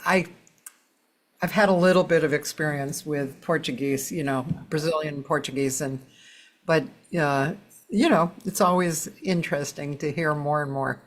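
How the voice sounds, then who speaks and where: A woman hosting a casual online chat, unhurried and chatty, laughing easily. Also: sits low, fairly even intonation. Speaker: host.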